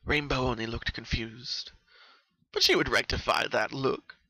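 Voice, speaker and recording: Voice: mid-range voice, measured pace, sharp tone, breathy edge, dry recording, rough recording. A narrator giving an intense, theatrical dramatic reading of a horror story.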